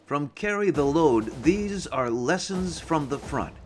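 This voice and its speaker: A man announcing the show's opening with a slow, dramatic scripted voice-over. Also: a little high, animated. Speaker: show announcer. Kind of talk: scripted show intro voice-over. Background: music.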